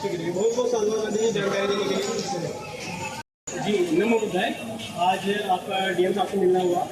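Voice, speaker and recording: A man's voice: high voice, steady tone, moderate pace. A male news reporter politely interviewing people on location. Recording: noisy, echoey, distant field recording.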